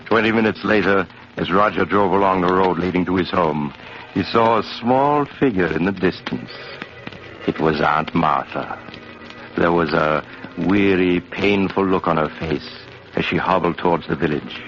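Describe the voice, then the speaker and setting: A man narrating a 1950s radio mystery in a measured, dramatic, scripted way. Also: deep voice, fairly bright tone. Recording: muffled 1950s radio recording. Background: faint music.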